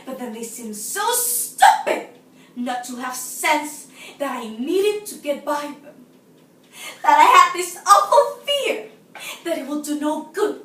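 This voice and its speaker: A seventeen-year-old woman from Nicaragua playing a frustrated, self-absorbed, unhinged woman in a dramatic, confiding stage monologue that swings between hushed and shouted, recorded in a roomy space at a distance. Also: high voice, exaggerated voice. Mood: anguished, indignant. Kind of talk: theatrical audition-style stage monologue. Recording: roomy, distant mic, faint noise.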